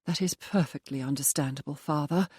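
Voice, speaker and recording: A woman voicing a composed young woman who speaks firmly, quietly and in a measured way. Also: deep voice, slightly rough, dry recording, studio quality. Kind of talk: audiobook dialogue.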